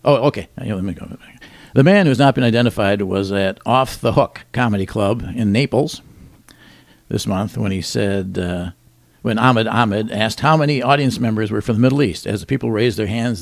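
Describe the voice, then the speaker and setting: Middle-aged male co-host, gravelly voice, dry close sound, deadpan joker. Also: lowish voice, dry recording. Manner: wry, deadpan punchlines, chuckles at own jokes. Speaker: radio show co-host. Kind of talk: joking news rundown.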